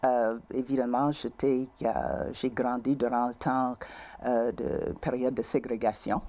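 An older woman from Missouri speaking French with an American English accent, recounting her childhood as a studio interview guest, with many pauses and fillers. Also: very deep voice, flat delivery. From United States (Missouri). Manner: hesitant, many fillers, warm laughs. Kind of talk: conversational interview. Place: small community TV studio.

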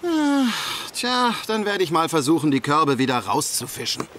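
A man's voice: on the high side, big pitch swings, moderate pace. An actor playing a plain-spoken, patient village fisherman in a children's cartoon. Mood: puzzled. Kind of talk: animated children's series dubbing. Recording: music behind the voice.

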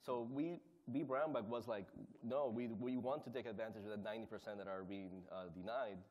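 A man speaking as a panelist about a volunteer community project, in an energetic, conversational way. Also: lowish voice, brisk. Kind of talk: conference panel talk.

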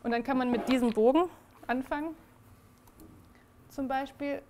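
A woman's voice: high-pitched, fairly even intonation, moderate pace, darkish tone, a little hiss or hum. Hesitant young woman presenting. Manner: hesitant, many fillers and restarts. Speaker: project presenter. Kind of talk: presentation talk. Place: indoor reading room, in front of a small audience.

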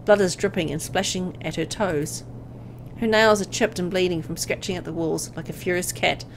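A woman reading a visual novel aloud as dramatic scripted narration, with an expressive storytelling delivery. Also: lowish voice, normal speed, a little hiss or hum, poor audio.

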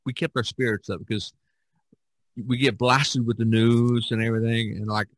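A middle-aged man chatting casually as a podcast host, rambling and halting as he searches for words. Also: mid-range voice, flat delivery, no room sound. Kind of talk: casual chat.

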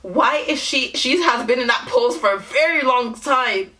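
Bright, giggly young woman reacting. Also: fairly high pitch, normal speed. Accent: Nigerian. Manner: giggly, exclamatory, animated commentary with frequent laughs. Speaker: YouTube reactor. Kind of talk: music video reaction. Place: small bedroom, indoors.

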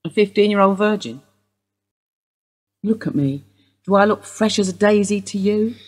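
Actress as husky, sardonic older woman. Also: medium pitch, normal speed, darkish tone, lightly acted. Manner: sardonic, wry. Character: older woman, Mrs Steele, sharp-tongued and bitter. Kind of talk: radio play acting.

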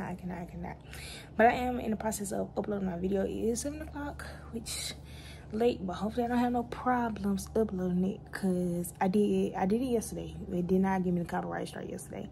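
A young woman, vlogging casually from her bedroom into a phone at arm's length, rambling and relaxed, calling her viewers y'all. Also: medium pitch, vocal fry, slight background noise. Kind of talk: casual day-in-my-life vlog. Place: bedroom at home, indoors; later in a car in heavy rain. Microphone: phone camera held close at arm's length.

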